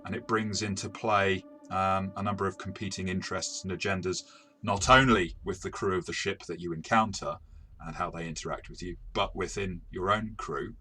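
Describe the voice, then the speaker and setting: Bright-voiced, low-pitched man reviewing games. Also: steady tone, normal speed, breathy edge. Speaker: co-host and game reviewer. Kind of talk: review discussion, casual chat.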